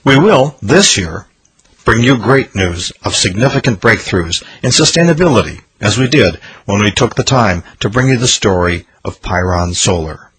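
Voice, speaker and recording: A man's voice: medium pitch, a bit bright, breathy edge. A man hosting a radio show, reading an earnest, promotional year-in-review script.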